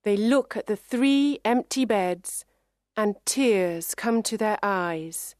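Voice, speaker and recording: Female storybook narrator, slow and carefully enunciated, mid-pitched, dry studio-like sound. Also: a little mellow, no echo. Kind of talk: scripted children's story narration for English learners.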